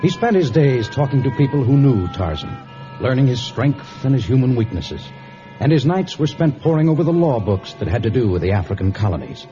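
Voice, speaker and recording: A man narrating a scripted old-time radio drama with deliberate storytelling delivery. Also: medium pitch, big pitch swings, dark tone. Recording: dull vintage radio recording. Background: faint music.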